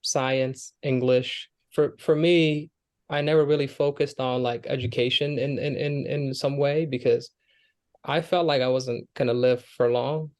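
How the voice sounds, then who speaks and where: An earnest young man tells his life story in a relaxed interview. His pitch sits in a middle range. He talks with lots of "like" and restarts. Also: a little slow. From Kenya, Somali family. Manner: earnest, with frequent fillers and restarts. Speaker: guest, Air Force airman.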